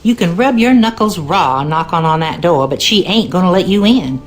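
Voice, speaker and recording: Folksy Southern cleaning woman, played by an actress, animated. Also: on the low side, moderate pace, faint music behind, natural voice. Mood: knowing, conspiratorial. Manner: folksy, knowing, ominous. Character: superstitious, wry Louisiana cleaning woman. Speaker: game character, cleaning lady. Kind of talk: video game character dialogue.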